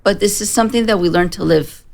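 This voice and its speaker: A woman in her forties from Israel with a slight American accent, giving a personal account as a studio interview guest in plain, measured sentences. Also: medium pitch, creaky, a little mellow. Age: 40s. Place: broadcast studio.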